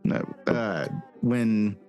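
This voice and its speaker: A man hosting a casual podcast interview, talking in a halting way with lots of fillers. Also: on the low side, a little mellow, faint music behind. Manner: halting, filler-heavy speech. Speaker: podcast host.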